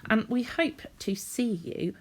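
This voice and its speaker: A woman's voice: a little high, big pitch swings, slow pace, darkish tone, breathy edge. A woman reading a children's story expressively, in the manner of a teacher speaking to young listeners.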